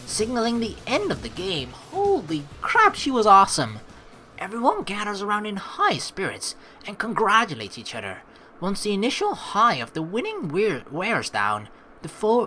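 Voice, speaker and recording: A woman streamer reading a game's narration aloud, mixed with casual, expressive commentary. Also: medium pitch. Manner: expressive, animated asides. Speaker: let's play streamer.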